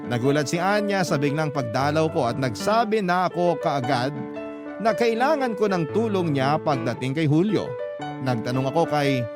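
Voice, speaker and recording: A man's voice: a little high, lively intonation, normal speed, music in the background. A man with a Filipino accent narrating a scripted first-person love story as a radio storyteller.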